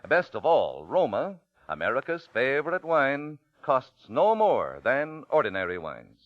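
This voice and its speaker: A man's voice: mid-range voice, wildly swooping pitch, measured pace, no echo. A man reading a commercial as an announcer in 1940s radio style. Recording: muffled, dry old radio broadcast.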